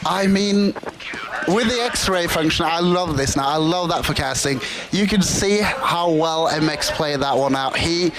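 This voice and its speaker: A man's voice: on the high side, over music, rough recording. A man giving live color commentary on an esports match, casual and playful. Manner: casual, playful, quick to laugh along.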